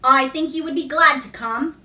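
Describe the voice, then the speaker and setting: A woman narrator reads as a plain, respectful countrywoman in a storybook, earnest and humble. The voice is high and bright. It sits in an echoey room. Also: normal speed. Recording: echoey room, distant mic.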